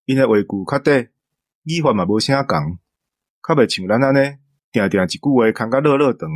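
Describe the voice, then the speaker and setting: Taiwanese male co-host, young adult: average pitch, casual conversational delivery, close dry recording. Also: fairly quick, dry recording.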